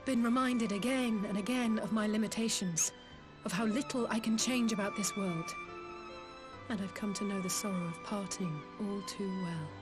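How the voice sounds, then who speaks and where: A woman's voice: mid-range voice, normal speed, music in the background, lightly acted. A young woman playing an earnest, heartfelt young heroine who grieves for her fallen friends in a video game cutscene. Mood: sorrowful.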